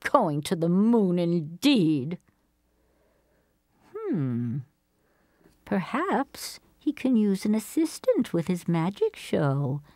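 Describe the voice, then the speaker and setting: A woman's voice: fairly low pitch, big pitch swings, slow, long pauses, dark tone, dry recording. An actress playing a lonely older woman in an audio play, dreamy, proud and easily wounded. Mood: wistful, amused.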